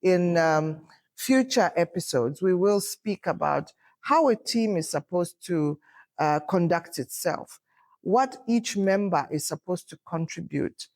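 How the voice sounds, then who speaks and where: A woman in her fifties with a loud voice, talking in a reflective, assertive way as a podcast host and pausing often on fillers. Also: on the low side, expressive. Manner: assertive, unhurried, thoughtful with filler pauses. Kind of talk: reflective conversational talk.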